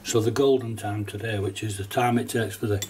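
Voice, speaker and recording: A middle-aged man with a stuffy, nasal voice from a cold talks through a watercolour demonstration in a relaxed, chatty way. His voice sits fairly low and a little away from the microphone. Faint household noise hums behind him. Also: brisk, far from the mic. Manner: relaxed, chatty, with small laughs and fillers. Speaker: watercolour teacher. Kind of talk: casual step-by-step painting demonstration. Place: painting desk at home, indoors.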